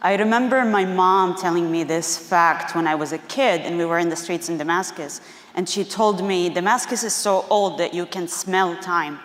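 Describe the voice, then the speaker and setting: A woman's voice: lowish voice, moderate pace. A woman from Syria with a Syrian Arabic accent, a theater director and filmmaker telling personal stories in a chatty way, with many fillers. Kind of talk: personal storytelling talk. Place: museum auditorium. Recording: roomy echo of a large hall.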